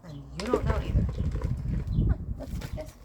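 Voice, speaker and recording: A woman's voice: fairly high pitch, very animated, moderate pace, heavy background noise. A young woman from Sweden, chatting casually and playfully to her viewers while gardening outdoors, talking to herself and humming as she works. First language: Swedish. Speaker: gardening vlogger.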